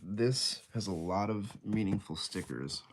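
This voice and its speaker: A man's voice: lowish voice, unhurried, slight background noise. A young man with a congested, nasal voice, chatting casually and rambling with jokes on a livestream from his room. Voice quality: nasal, stuffy. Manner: rambling, joking, easily sidetracked. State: congested from a cold.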